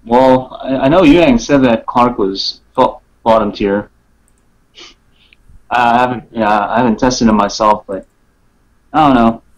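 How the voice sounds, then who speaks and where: A man's voice: mid-range voice, a little slow, long pauses, rough recording. A man chatting casually about game mechanics as a podcast guest, tentative and unsure in his manner. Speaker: guest player on a gaming podcast. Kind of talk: casual chat, game analysis.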